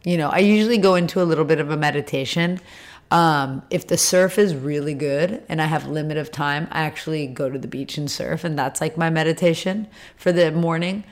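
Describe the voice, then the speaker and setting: A woman with a raspy voice from old throat surgery, talking casually with lots of fillers as an interview guest. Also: low-pitched, a little fast. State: throat scar tissue, tires easily. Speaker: interview guest, retreat leader. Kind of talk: casual conversation.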